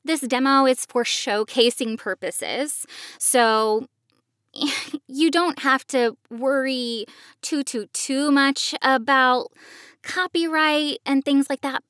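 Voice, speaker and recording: A woman's voice: high-pitched, fairly bright tone, no room sound, studio quality. A woman giving casual, reassuring, explanatory advice as a podcast host. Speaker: podcast host, professional voice actor.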